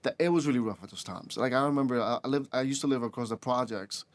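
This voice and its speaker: A man in his twenties from the Dominican Republic, a podcast guest who tells his story in a halting way with many restarts. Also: medium pitch, dry recording. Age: 20s. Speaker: podcast guest, rideshare driver. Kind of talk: casual interview chat.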